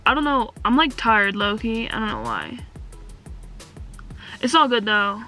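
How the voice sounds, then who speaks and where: A young woman with a slightly high voice talks slowly and loosely into a handheld camera, giggling and dropping slang as she vlogs. Music plays under her voice throughout. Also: pushed and bright. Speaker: vlogger. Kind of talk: casual vlog chat to camera. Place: car and hotel, indoors. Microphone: phone or handheld camera at arm's length.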